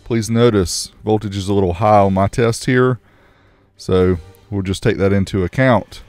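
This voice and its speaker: A man's voice: fairly low pitch, fairly even intonation, moderate pace, a little mellow, dry recording, soft background music. A man reviewing car audio gear, narrating a test in a matter-of-fact, casual, technical way.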